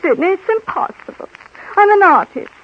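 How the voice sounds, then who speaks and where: An actress playing a melodramatic, imperious European film star with a continental accent in a 1930s radio comedy. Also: female voice, very high-pitched, animated. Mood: aggrieved, melodramatic. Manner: theatrical, imperious, dramatic pauses and repetition. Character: temperamental, melodramatic European movie actress, self-pitying and wheedling. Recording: muffled, noisy 1930s radio recording.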